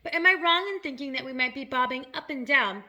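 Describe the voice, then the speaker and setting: A woman's voice: sits high, quick pace, bright, forceful tone, pitched above the performer's own voice. A woman voicing a polite, sympathetic female ladybug in a storybook, in a genteel manner. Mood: polite worry.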